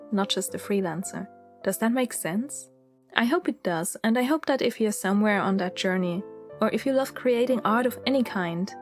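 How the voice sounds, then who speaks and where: A woman in her thirties with a Swiss German accent, narrating her story in a candid, chatty, reflective voice-over. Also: mid-range voice. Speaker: illustrator and channel host.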